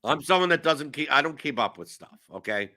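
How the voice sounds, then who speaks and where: A man's voice: a little high, animated, a little fast, pushed and bright. A man in his forties from New York, hosting a casual show in a rambling, self-mocking way with lots of restarts. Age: 40s. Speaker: show host. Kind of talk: casual chat.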